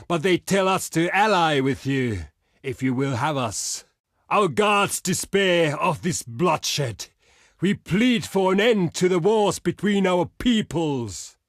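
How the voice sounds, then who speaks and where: An actor playing a proud, hot-tempered barbarian chieftain in a video game, with blunt, archaic, declamatory speech. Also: male voice, on the high side, fairly bright tone, dry recording, clean studio sound. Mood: solemn, earnest, then grave, pleading. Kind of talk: theatrical video game character voice lines.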